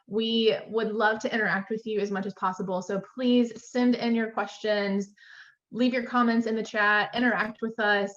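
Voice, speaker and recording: Woman, mid-pitched voice, online tour host, welcoming introduction, muffled lo-fi call audio. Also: fairly bright tone. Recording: dull, lo-fi video call audio.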